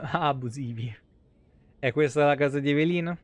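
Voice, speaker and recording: A man's voice: fairly high pitch, moderate pace. A young man doing casual, slangy live game commentary with some swearing. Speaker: game streamer. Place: small indoor room, webcam stream.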